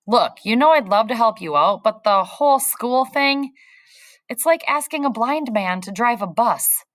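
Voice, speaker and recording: A woman's voice: fairly high pitch, lively intonation, unhurried, fairly bright tone, no echo, clean studio sound, voice pushed up. Woman putting on a joking teen boy. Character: cheerful teen boy, older brother, joking.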